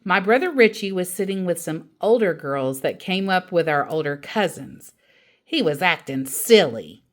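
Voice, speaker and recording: A woman reading a children's story aloud as an animated storyteller, acting out the dialogue expressively. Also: fairly low pitch, moderate pace. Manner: acts out quoted dialogue expressively.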